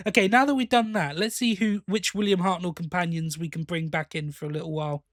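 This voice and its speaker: A man's voice: high voice, fairly even intonation, quick pace, no room sound, studio quality. A man co-hosting a fan podcast, giving opinions in a casual, conversational review style. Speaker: podcast host.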